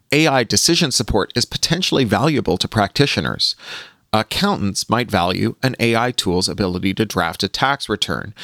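A man hosting a podcast, reading a scripted opinion column aloud in an articulate, measured and emphatic way. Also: on the low side, sharp tone, no room sound, clean studio sound. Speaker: podcast host reading own magazine column.

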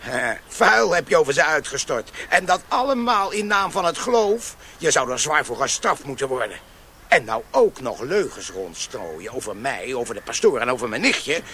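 An actor playing a fiery, defiant freethinking countryman in a radio play, forceful and accusing. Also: medium pitch, very animated, moderate pace, slight background noise. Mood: threatening, accusing. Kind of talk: Dutch radio play acting.

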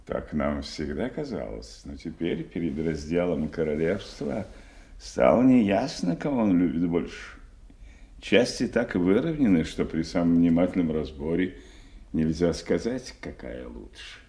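An actor playing a worldly, jovial middle-aged nobleman in an audio play, wry, unhurried and courtly. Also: deep voice, animated, dark tone. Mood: wry, genial. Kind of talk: theatrical audio play acting.

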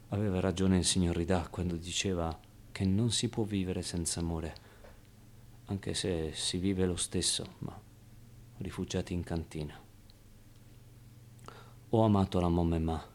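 An actor playing a streetwise, wistful orphan boy in a halting, emotional theatrical monologue. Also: low-pitched. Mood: reflective, aching. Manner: halting, emotional, swinging from outbursts to hushed confiding. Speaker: stage actor.

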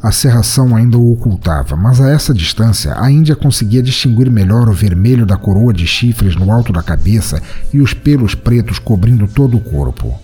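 Mellow, low Brazilian male storyteller. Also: expressive, a little fast, hardly any pauses, husky. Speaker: narrator of a fiction podcast. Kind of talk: scripted dramatic storytelling narration.

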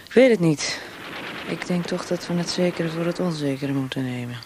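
A cruel female interrogator in a radio play, acted by a woman, with a lowish voice and a taunting, perfectionist streak. She speaks with cool, formal control. The recording is noisy, with clicks. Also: moderate pace, natural voice. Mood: cool menace. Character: cold, mocking female secret police interrogator, perfectionist and cruel. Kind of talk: radio drama acting.

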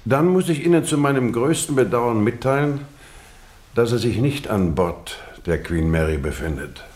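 A man acting a shrewd male detective in a radio play. His medium-pitched voice moves widely as he probes. He is formal and courteous, yet insinuating and relentless. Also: animated, darkish tone, lightly acted. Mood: probing, grave. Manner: formal, measured, insinuating questioning. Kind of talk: scripted radio drama dialogue.

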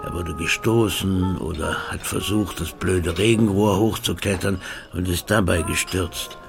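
A man's voice: low-pitched, steady tone, unhurried, gravelly, over music. An actor playing a blunt, cynical local man who brushes things off with coarse words. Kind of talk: radio drama acting.

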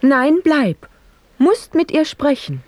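A tender-hearted young bride and princess in a radio verse play. Her high voice swoops impulsively and sweetly, in a dry recording. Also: sing-song, slow pace, darkish tone, dry recording, exaggerated voice. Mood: insistent.

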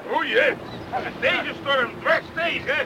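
An actor playing a gruff, decisive lifeboat skipper who barks blunt orders in a radio play. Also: male voice, extremely high pitch, slow pace, crowd noise. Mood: urgent command. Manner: commanding, blunt, barking orders. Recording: noisy outdoor storm sound effects.

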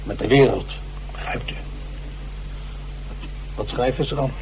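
An actor playing an ageing, self-dramatising stage actor jailed for murder, halting and theatrical, in a radio drama. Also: male voice, medium pitch, a little mellow, lightly acted, poor audio. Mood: resigned, grave. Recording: hissy old radio recording.

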